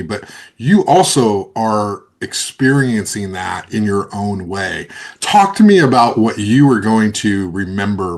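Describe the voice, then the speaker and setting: A man hosting a casual interview, asking long friendly questions with many fillers and restarts. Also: lowish voice. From California. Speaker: podcast host, interviewer.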